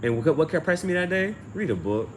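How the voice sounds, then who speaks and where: A man's voice: a little high, very animated, fast, a little hiss or hum. A man telling personal stories in a casual livestream style, slangy and profane, often repeating phrases as he thinks aloud. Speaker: amateur tarot reader and channel host.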